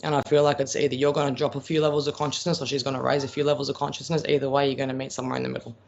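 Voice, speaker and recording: Gravelly Australian man, blunt and chatty. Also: mid-range voice, flat delivery, very fast. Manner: blunt, chatty, calls viewers pet names. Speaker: psychic medium. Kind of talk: live-stream reading of viewer questions. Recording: dull lo-fi stream audio.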